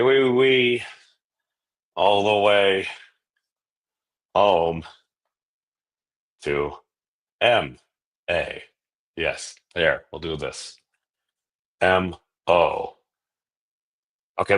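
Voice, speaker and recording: Low-voiced man rambling on a livestream. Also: fairly bright tone. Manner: self-deprecating, jokey, digressive. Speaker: livestream host. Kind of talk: casual rambling livestream chat.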